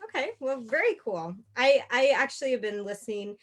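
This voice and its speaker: A woman's voice: fairly high pitch, animated, brisk. Upbeat woman hosting an interview. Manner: upbeat, praising, filler-heavy. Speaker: interview host, acting coach.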